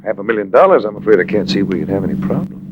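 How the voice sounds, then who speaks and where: An actor playing a suave, wisecracking adventurer who narrates in a wry, urbane American way, in 1940s radio style. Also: male voice, on the low side, expressive, very fast, noisy background, close to an everyday voice. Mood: cool, probing. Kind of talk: 1940s radio acting, hard-boiled narration. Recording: dull vintage radio recording with music.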